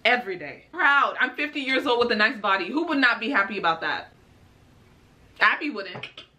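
A woman's voice: a little high, lively intonation, moderate pace, bright, forceful tone. A woman in her twenties hosting a casual reaction video at home, sassy and exasperated, arguing with the clip she watches. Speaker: YouTube reaction host. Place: small home room, indoors.

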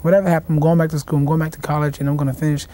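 A young man, a boxing champion answering in a TV studio interview, halting and restarting his words. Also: on the high side, level tone, dark tone. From South Carolina, raised in Washington, D.C. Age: young adult. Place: TV studio set.

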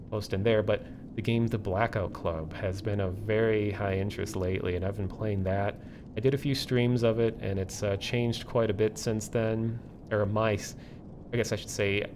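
A man chatting casually as a streamer, rambling and halting as he thinks aloud. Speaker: streamer and online community host. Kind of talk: casual livestream chat. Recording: low rumbling background noise.

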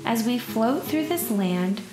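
A woman narrating a rhyming children's picture book in an expressive, measured storytelling way. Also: on the high side. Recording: roomy echo, music behind. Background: plucked guitar music.

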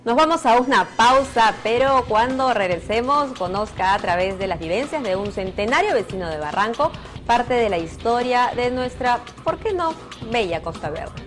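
A woman's voice: on the high side, animated, moderate pace, soft background music. A woman in her thirties presenting a television news magazine to camera from a studio.

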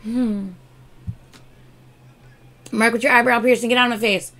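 A woman in her thirties, hoarse from losing her voice, chatting excitedly in a casual reaction vlog at her desk at home. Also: on the high side, unhurried. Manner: excitable, chatty, gushing with laughs and exclamations. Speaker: K-pop reaction YouTuber. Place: home room with shelves of albums and collectibles, indoors. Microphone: webcam framing at desk.

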